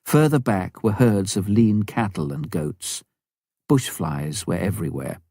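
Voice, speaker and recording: A man reading a missionary story as an audiobook narrator, with a measured storytelling delivery. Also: on the low side, sing-song, mellow, dry recording, studio quality.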